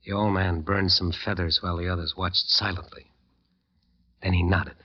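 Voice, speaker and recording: A man's voice: low-pitched, fairly even intonation, moderate pace. An actor playing a cocky, hard-boiled American jazz bandleader who narrates his eerie story in a 1940s radio drama. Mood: tense narration. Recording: muffled old radio recording with music.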